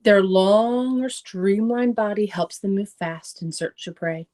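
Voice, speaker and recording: A woman's voice: medium pitch, measured pace, darkish tone. A middle-aged woman reading a children's nonfiction book aloud, carefully, with simple explanatory asides for young listeners. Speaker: children's read-aloud presenter.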